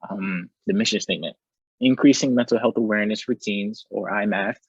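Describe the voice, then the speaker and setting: A man's voice: on the low side, brisk, husky, dry recording. A young man from New York presenting to a video call in a rambling, casual way full of fillers. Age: young adult. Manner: rambling, filler-heavy, laid-back. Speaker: student presenter. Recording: muffled dry video call audio.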